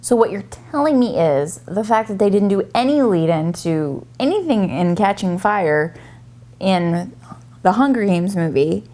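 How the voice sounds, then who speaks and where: A woman's voice: medium pitch, lively intonation, a little slow, mellow. A woman co-hosting a casual book chat in a blunt, teasing way. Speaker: co-host of a book discussion video.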